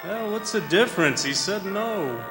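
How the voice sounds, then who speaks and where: Music plays clearly behind a male actor as a weary, regretful lawyer in a radio drama. He speaks slowly and with restraint in a large, echoing hall. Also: high voice, lively intonation. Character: weary, sombre male lawyer friend of condemned man. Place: performing arts center stage.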